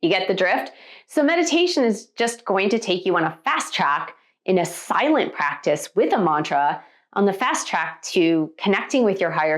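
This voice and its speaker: Animated American woman teaching spirituality. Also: on the low side. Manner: emphatic, conversational, with rhetorical check-in questions. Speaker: spiritual teacher and meditation coach. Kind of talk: motivational spiritual teaching talk to viewers.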